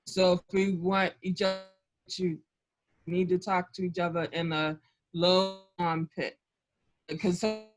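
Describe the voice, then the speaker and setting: A woman guest in a casual talk about marriage who corrects herself as she goes. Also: fairly low pitch. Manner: laughing, self-correcting. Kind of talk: casual conversation.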